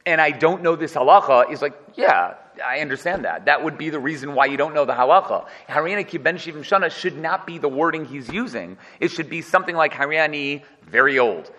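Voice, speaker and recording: A man's voice: on the high side, lively intonation, brisk, few pauses, bright, forceful tone, in a large hall. A man giving an informal, joking Torah class and putting questions to his students. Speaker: Torah teacher.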